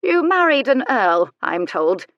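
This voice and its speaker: A woman's voice: fairly high pitch, sing-song, a little slow, a bit bright, dry recording, lo-fi sound, pitched above the performer's own voice, heavily acted. A woman acts out a worldly young widow and courtesan from a romance storybook. She is cool, bold and unflappable, with playful wit. The recording is close and dry. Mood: composed, bold.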